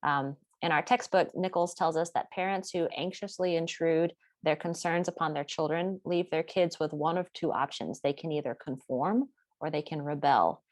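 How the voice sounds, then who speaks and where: A woman presenting a class project in a steady, explanatory way, partly read from notes. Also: sits low, moderate pace, no room sound. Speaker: student presenter.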